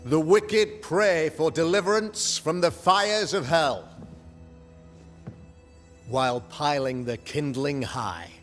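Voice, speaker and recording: A pompous, self-righteous governor who preaches in slow, drawn-out sermons, with a voice pitched a little high. He fills each line with grand, moralizing weight. The game cutscene rings with a long reverb, and soft music plays beneath. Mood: preaching. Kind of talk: video game cutscene acting.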